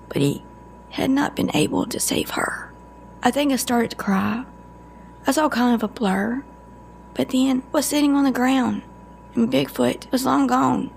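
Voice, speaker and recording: A woman playing a haunted, guarded cryptid agent in an audio drama, telling her story with halting emotion and broken-off sentences. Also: fairly high pitch, gravelly, a little hiss or hum, heavily acted, poor audio. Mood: grieving, bitter.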